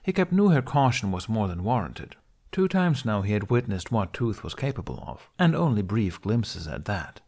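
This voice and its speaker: A man's voice: lowish voice, big pitch swings, moderate pace, a little mellow. A man reading a fantasy story aloud as scripted narration. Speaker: podfic narrator.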